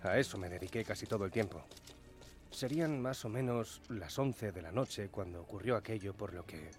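An actor playing a weary, overworked ship's telegraphist recounting events in a radio drama monologue. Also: male voice, fairly low pitch, normal speed, faint music behind, close to an everyday voice. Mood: weary, regretful. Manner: regretful, dramatic storytelling. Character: weary, overworked ship's telegraphist recalling his fateful night.

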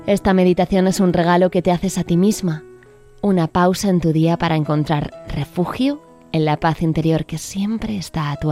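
A woman introduces a meditation in a calm, gentle way. Her voice is deep and mellow, with faint music underneath. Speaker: journalist presenting a meditation.

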